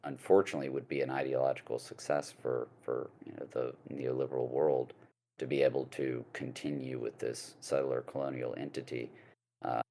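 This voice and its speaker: A man speaking as a guest lawyer in a casual podcast discussion, halting, with many fillers and restarts. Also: a bass voice, level tone, vocal fry, mellow.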